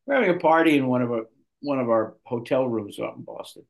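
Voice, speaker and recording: Animated older man telling stories. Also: medium pitch, fast, gravelly, poor audio. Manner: animated storyteller, restarts a lot. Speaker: guest actor. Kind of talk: storytelling in an interview.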